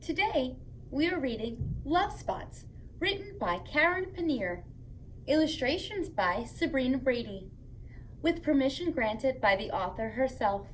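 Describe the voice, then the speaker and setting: A woman hosting story time for young children, reading aloud and chatting to them in a playful, expressive way. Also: high voice, measured pace, slight background noise, poor audio.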